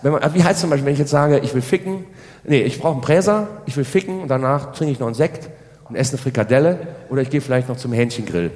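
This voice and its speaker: A man's voice: mid-range voice, quick pace, darkish tone. A man performing a spoken stage comedy monologue, rambling and repeatedly checking for agreement with short tag questions. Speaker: stand-up comedian. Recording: reverberant hall sound.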